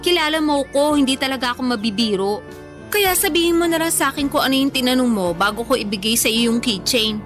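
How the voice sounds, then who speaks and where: High female voice, thirties, acted by a woman, stubborn playful foodie in radio drama banter, music underneath. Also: expressive, fast talker. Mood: mildly irritated. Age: 30s. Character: playful stubborn food-loving woman of thirty telling of a trip. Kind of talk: casual conversational radio drama dialogue.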